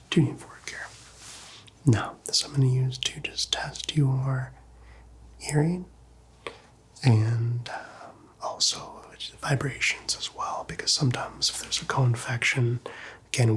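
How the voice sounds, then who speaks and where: Whispering male ASMR doctor, gentle and unhurried. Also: mid-range voice. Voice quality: hushed, whispery, breathy. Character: calm, reassuring male doctor examining a patient. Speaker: ASMR roleplayer playing a doctor. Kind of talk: ASMR medical roleplay, softly spoken to the listener.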